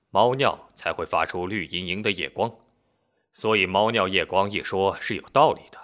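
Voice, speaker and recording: A man acts out a smug, clever male sleuth from a narrated story. The voice drops low and walks listeners through a deduction with patient self-satisfaction. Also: bright, forceful tone.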